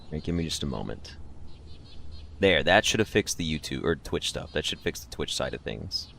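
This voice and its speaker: Male livestream host, low register, loose conversational delivery, joking and slangy asides to viewers. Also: lively intonation, moderate pace, gravelly, a bit bright, slight background noise. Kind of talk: casual chat with live stream viewers.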